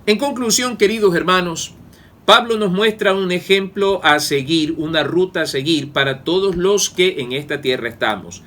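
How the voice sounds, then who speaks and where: Preacher, male, higher register, earnest, leans on words for weight. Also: normal speed, a bit bright, slight background noise. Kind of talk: Bible study sermon.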